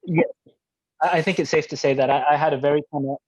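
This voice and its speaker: A man's voice: on the high side, fairly even intonation, quick pace, darkish tone, no echo. A man answering interview questions over a video call, hesitant and reflective with many fillers. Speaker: interview guest. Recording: dry, dull video-call audio.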